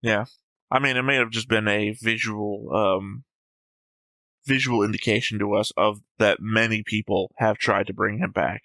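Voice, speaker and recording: A man's voice: on the low side, fairly even intonation, moderate pace, sharp tone, no room sound, low-quality recording. A man hosting a casual discussion who methodically reads from his notes and is careful not to give anything away. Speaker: reaction show host.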